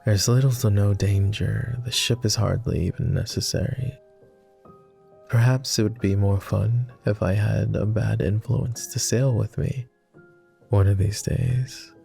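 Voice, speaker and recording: A man voicing a gentle, devoted vampire, speaking softly and formally in an intimate roleplay ASMR style. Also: fairly low pitch, steady tone, a little slow, faint music behind. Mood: tender longing. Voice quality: soft, gravelly. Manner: posh, formal, tender. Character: gentle, teasing male vampire, devoted and wistful.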